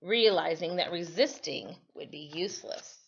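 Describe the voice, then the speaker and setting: A woman reading a history story aloud as a storyteller, in an expressive, teacherly way. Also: on the low side, moderate pace, vocal fry, slightly rough, lo-fi sound.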